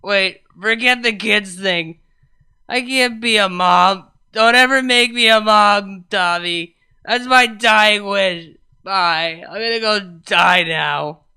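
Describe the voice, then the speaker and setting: A man's voice: high-pitched, slow pace, vocal fry, sharp tone, clear, resonant tone, voice pushed up, heavily acted. A man voicing a drunk, weepy young mare pony who works as a DJ, slurring and wailing in an overwrought way. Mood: sobbing drunk.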